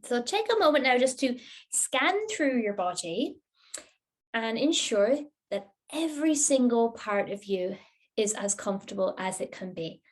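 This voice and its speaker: Gentle woman guiding relaxation. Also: on the high side, big pitch swings. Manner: gentle, unhurried, soothing. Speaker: yoga nidra teacher guiding a class. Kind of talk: guided relaxation instruction.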